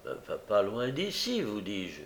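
A man voicing a stubborn, desperate young woman who pleads insistently and haltingly in a story reading. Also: on the low side, wildly swooping pitch, vocal fry, roomy sound, heavily acted. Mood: evasive, stubborn.

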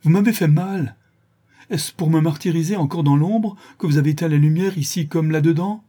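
A brutal brigand struck blind, from a storybook, acted out by a male reader. The voice jumps high and loud, with exclamations and anguished repeated words. It keeps a soft, husky grain. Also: animated, moderate pace. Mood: angry, frantic. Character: brutal male brigand, newly blinded, raging then pitifully despairing.

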